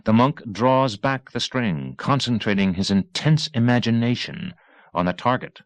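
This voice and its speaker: A man reading a novel as a scripted, dramatic audiobook narration, with measured and deliberate phrasing. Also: medium pitch, lively intonation, dry recording.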